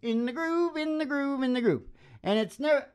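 A man's voice: extremely high pitch, moderate pace. An older man, around his sixties, hosting with rambling, joking casual banter between songs into a close stand mic. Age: older, about 60s. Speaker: musician and show host. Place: small wood-panelled room, indoors. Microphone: stand microphone, close. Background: acoustic guitar.

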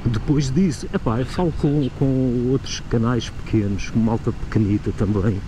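A man's voice: medium pitch, normal speed. A man with a European Portuguese accent, co-hosting a motovlog, chatting casually and thoughtfully while riding a motorcycle. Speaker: motovlog co-host. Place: on a motorcycle on open country roads. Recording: wind and road noise.

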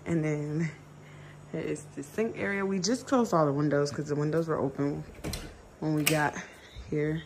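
Young woman, low gravelly voice, unhurried chatty vlog talk, phone held at arm's length. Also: steady tone, background noise. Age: 20s. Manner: chatty, addresses viewers as y'all. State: just woken, raspy voice. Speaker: travel vlogger. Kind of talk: casual vlog walkthrough. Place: resort villa bedroom, indoors.

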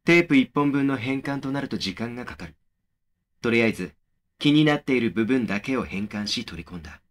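A man playing a young man who narrates his eerie experience in a horror audio drama, measured and serious. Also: mid-range voice, animated, close to an everyday voice. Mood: serious, suspenseful. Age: young adult. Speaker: first-person narrator of a horror story.

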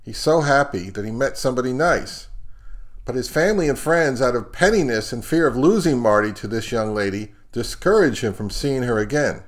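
A middle-aged man hosting an audio review channel, reading scripted narration in a measured, explanatory way. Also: mid-range voice, animated.

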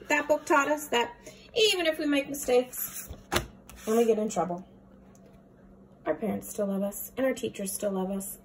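A young woman teacher reading a storybook aloud to children, with playful, expressive comments. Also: a little high, a little hiss or hum. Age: young adult.

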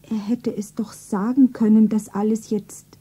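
An actress playing a lovelorn, naive young shopgirl, plaintive and earnest, with a soft breathy voice in a radio drama. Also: mid-range voice, level tone, unhurried, slight background noise, close to an everyday voice. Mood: anxious, hurt. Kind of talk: stylised radio drama, self-narrating.

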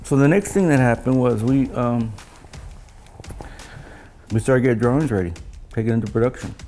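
Older male interviewee, mellow and crackly, halting anecdotal delivery, casual recollection. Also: fairly low pitch. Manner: halting, anecdotal storytelling with quoted dialogue. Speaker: loudspeaker engineer interviewee. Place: living room of a home, indoors.

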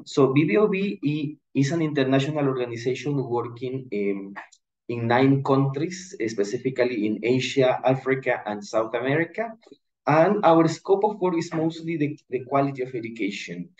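A man in his thirties from Ecuador, giving a measured panel presentation over a video call, with frequent restarts. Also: mid-range voice, a little mellow, lo-fi sound. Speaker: education manager, panelist. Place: indoor room. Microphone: video call webcam.

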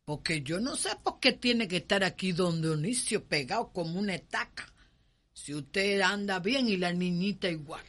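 An actress playing a blunt, folksy enslaved nursemaid with a Cuban accent and a colloquial, teasing way of talking in a radio soap. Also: female voice, on the low side, moderate pace, a bit bright. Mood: wary. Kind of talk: radio soap opera acting.

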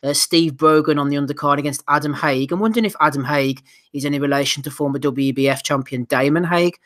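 A man's voice: a little high, quick pace, a bit bright. A man hosting a casual boxing podcast, who says he is losing his voice and reads out listener questions. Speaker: podcast host.

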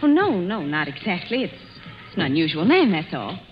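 A woman's voice: lowish voice, sing-song, normal speed, soft background music. Dry, skeptical young lady. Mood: guarded. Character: cool, skeptical, sharp-tongued young lady. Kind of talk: 1940s radio acting. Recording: muffled, noisy vintage radio recording.

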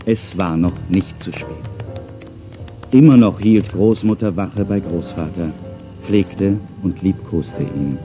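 A man narrates a children's audio story in a low, very soft and dark voice. He tells it as scripted storybook narration, with light music underneath. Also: slow pace.

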